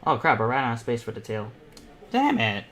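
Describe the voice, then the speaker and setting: A man's voice: mid-range voice, sing-song, brisk, fairly bright tone. A young man hosting an online art panel, chatting casually and thinking aloud as he draws. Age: teens or young adult. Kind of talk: casual chat while drawing. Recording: clear background noise, room ambience.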